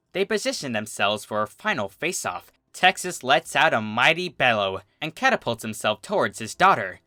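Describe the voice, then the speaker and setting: A man's voice: medium pitch, pushed and bright, no room sound, clean studio sound. A man reading a story aloud as a narrator, in a dramatic, scripted storytelling style. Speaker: fan fiction reader, narrator.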